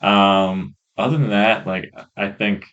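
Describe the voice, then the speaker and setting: A man co-hosting a podcast in casual, opinionated chat, halting as he talks, on a rough, faulty microphone. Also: lowish voice, steady tone, fairly bright tone, dull audio. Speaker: podcast co-host.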